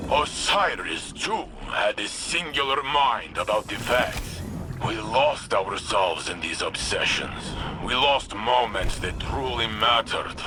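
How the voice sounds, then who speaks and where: An actor playing a noble, grave veteran warrior in a video game, speaking formally with a Russian accent. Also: male voice, background noise. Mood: solemn, earnest. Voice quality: deep. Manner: formal, deliberate phrasing.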